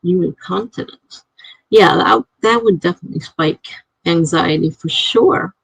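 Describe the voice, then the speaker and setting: A gravelly, slightly husky woman chats slowly through a live stream and reads out her viewers' comments. She laughs often between comments. Her voice sits dry and close to the mic. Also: on the low side, dry recording, rough recording.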